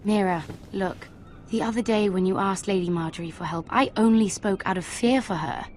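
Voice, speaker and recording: A woman's voice: mid-range voice, moderate pace, slight background noise, lightly acted. A woman voicing a sympathetic, eager young handmaiden in a video game drama, earnest and polite.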